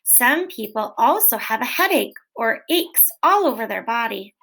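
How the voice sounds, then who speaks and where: Woman reading aloud to children, bit high. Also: moderate pace, rough recording. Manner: gentle, clear, expressive reading with questions. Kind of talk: read-aloud storytelling for children.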